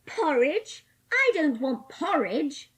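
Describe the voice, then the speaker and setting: A woman's voice: high voice, big pitch swings, slow pace, full character voice. A woman playing a spoilt, sullen little rich girl with an imperious, curt, formal manner in an audiobook drama. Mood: stubborn.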